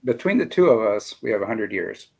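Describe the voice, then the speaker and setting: A man's voice: lowish voice, sing-song, brisk, creaky, a little mellow, breathy edge. A man about to turn fifty, hosting a casual interview with friendly, joking asides. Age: 40s. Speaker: interview host.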